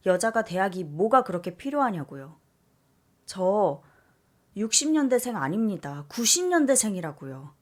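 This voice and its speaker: A woman reading a listener's life story aloud as scripted narration, calm and measured. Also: medium pitch. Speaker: story reader.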